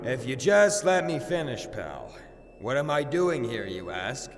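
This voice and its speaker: An actor playing a cocky, crude young warrior in a fantasy audio drama, talking in a flippant, boastful, casual way. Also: male voice, medium pitch, very animated, unhurried, slight background noise. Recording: long reverb, music underneath.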